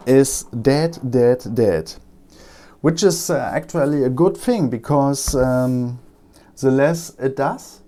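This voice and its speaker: A man's voice: mid-range voice, warm tone. A German man with a German accent, talking casually through a laptop repair, with frequent ums and uhs as he works at his bench. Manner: halting, many ums and uhs. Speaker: repair technician. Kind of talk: casual repair vlog talk.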